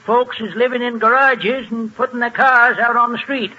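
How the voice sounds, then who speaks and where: Grumpy folksy radio guy, really high and piercing, old muffled recording. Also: steady tone, moderate pace, noisy background. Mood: dry grumbling. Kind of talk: 1940s radio comedy acting. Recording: dull vintage 1940s radio recording.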